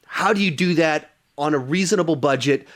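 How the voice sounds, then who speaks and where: Guy hosting a relaxed movie chat podcast, voice a bit on the high side. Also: fairly quick. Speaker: podcast host. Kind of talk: casual film discussion.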